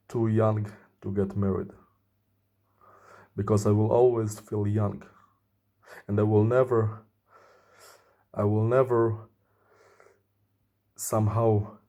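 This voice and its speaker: A man in his thirties speaking earnestly in a slow, deliberate personal monologue to camera. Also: fairly low pitch, warm tone. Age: 30s. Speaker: vlogger.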